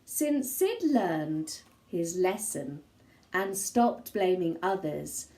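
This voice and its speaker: A woman reading a children's story aloud in an expressive style, with measured, dramatic phrasing and pauses. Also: on the low side. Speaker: storybook reader.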